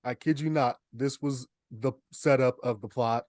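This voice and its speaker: A young man talks as a podcast co-host, spinning humorous stories in a casual way. His voice sits at an ordinary male pitch, and the sound is dry with hardly any room in it. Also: fairly even intonation, moderate pace, a little mellow, no room sound. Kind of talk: casual chat, humorous storytelling.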